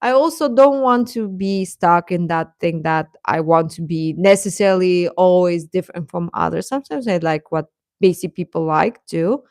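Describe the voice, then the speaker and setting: A woman's voice: lowish voice, darkish tone, no echo, studio quality. A woman giving casual, reassuring advice as she chats with her livestream viewers in an encouraging way. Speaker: livestream host talking with young viewers.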